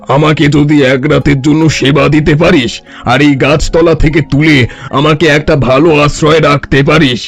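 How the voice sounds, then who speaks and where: A man voicing a boastful wandering holy man in a cartoon, gravelly, scolding and making grand prophecies. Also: fairly high pitch. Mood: commanding, promising. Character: bossy, boastful wandering holy man demanding food and shelter. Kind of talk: Bengali cartoon story voice acting.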